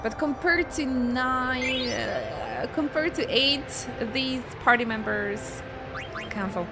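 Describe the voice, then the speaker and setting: A young woman with a Polish accent chats at a slow, easygoing pace as she plays a video game on a live stream, thinking aloud to her viewers. Her voice sits a little higher than most women's. Game music plays clearly behind her. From Poland. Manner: chatty, musing aloud to viewers while playing. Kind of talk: live-stream gameplay commentary.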